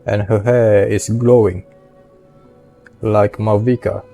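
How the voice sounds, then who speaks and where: Slow-talking man doing casual gameplay commentary. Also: on the low side, little pitch movement, gravelly, dark tone. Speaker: video game streamer. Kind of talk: casual gameplay commentary, reading on-screen text. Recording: faint game music behind.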